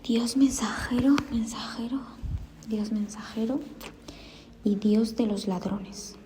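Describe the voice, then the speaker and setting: A woman reads out study notes in a hushed, often whispered voice, repeating each item and spelling tricky words. Her delivery is slow and unhurried, with a mellow, dark tone. A faint hiss of background noise sits under the recording. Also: a little high, steady tone. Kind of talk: dictating study notes aloud.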